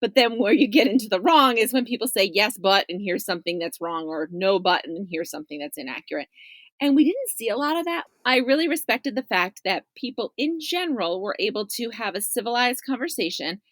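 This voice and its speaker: A woman's voice: mid-range voice, lively intonation, fairly quick, fairly bright tone, no echo. A woman journalist talking casually as an interview guest on a podcast.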